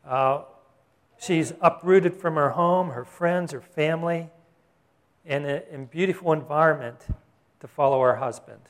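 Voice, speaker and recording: A man's voice: on the high side, unhurried. Man preaching a conversational sermon. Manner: conversational preaching, asks the congregation "Got it?". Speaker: pastor. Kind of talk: sermon, Bible teaching.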